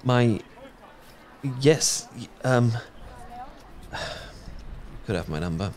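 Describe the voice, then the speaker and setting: A man's voice: medium pitch, animated, very slow. A young British man in a romantic audio roleplay, talking shyly with many fillers and restarts. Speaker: ASMR audio roleplay performer.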